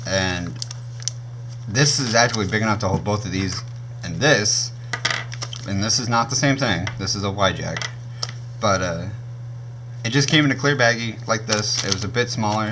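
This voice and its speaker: A young man with a naturally deep voice, casually reviewing gear on his channel and often stopping to correct himself. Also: normal speed, noisy background. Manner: rambling, self-correcting, frequent um and uh.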